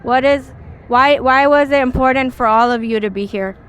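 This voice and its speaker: Woman reporter, slightly high voice, casual filler-laden questions. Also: normal speed, fairly bright tone, smooth, lo-fi sound. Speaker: interviewer. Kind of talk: street interview questions.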